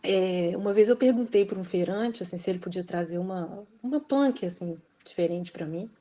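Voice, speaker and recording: A woman's voice: on the low side, normal speed, warm tone. A woman from Belo Horizonte with a Minas Gerais accent, a guest author talking casually and reflectively, with frequent hesitations. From Belo Horizonte, Brazil. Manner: reflective, hesitant, fills with "assim", laughs often. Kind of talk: casual interview conversation.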